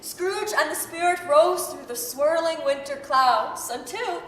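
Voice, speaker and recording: A woman's voice: measured pace, a bit bright. A woman playing the narrator of a Victorian ghost tale, reading scripted narration in 1930s radio drama style. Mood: storytelling. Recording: echoey hall with faint rumble.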